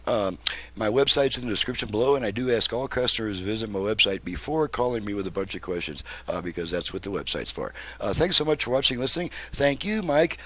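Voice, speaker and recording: A man giving a casual, halting demonstration as a repairer, thinking aloud as he goes. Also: lowish voice, expressive, fairly bright tone. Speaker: radio repairer demonstrating his work.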